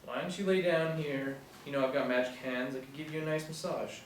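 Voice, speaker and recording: A man's voice: a little high, steady tone, brisk, natural voice. A man in his thirties reading the part of a self-confident young businessman boyfriend from a script. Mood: smooth, teasing. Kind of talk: script read aloud at a table reading. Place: small indoor room, seated group. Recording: very echoey room, distant mic, faint noise.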